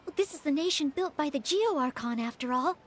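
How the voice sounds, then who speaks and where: A woman's voice: high-pitched, animated, fairly quick, slight background noise. A woman voicing an earnest, polite and easily flustered young maid knight in a video game. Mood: excited, thoughtful.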